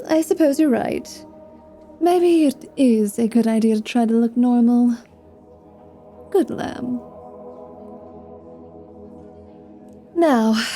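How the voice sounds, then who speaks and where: A woman's voice: a little high, warm tone. A woman playing a sly, flirty, irreverent vampire who poses as a pious paladin, teasing and sarcastic, in an ASMR roleplay drama. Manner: teasing, sarcastic, feigned piety.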